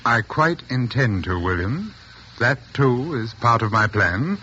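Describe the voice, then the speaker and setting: An actor playing a pompous, sinister middle-aged mystic doctor in 1940s radio style, grandiloquent and condescending, on a noisy old recording. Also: male voice, medium pitch, lively intonation, a little slow, sharp tone, dull audio. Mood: silky menace. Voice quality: theatrical, sweeping delivery.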